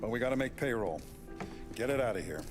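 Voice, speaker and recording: A commanding mob boss, voiced by a man in a crime game, with measured, decisive speech. His mid-pitched voice moves lively through its range. Music plays clearly underneath. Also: lightly acted. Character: calm authoritative older male mob boss. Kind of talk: 1930s gangster game dialogue.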